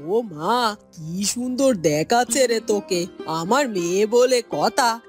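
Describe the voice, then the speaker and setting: An actress plays a proud, spiteful village mother, fairly high-pitched and very animated. The delivery is theatrical fairy-tale cartoon dubbing with faint music beneath.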